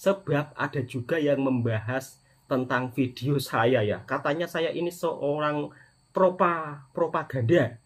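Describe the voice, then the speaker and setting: Husky middle-aged Indonesian man vlogging. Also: a little high, unhurried. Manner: repetitive emphasis, often addressing his audience as friends. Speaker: vlogger, channel host, migrant worker. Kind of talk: casual personal vlog monologue addressed to viewers.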